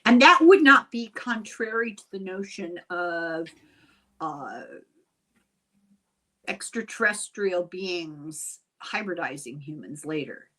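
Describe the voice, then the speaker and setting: A woman's voice: fairly low pitch, expressive, slow, sharp tone. An older woman hosting a casual interview, asking inquisitive questions and wandering into asides as she talks. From Ireland. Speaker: host and interviewer.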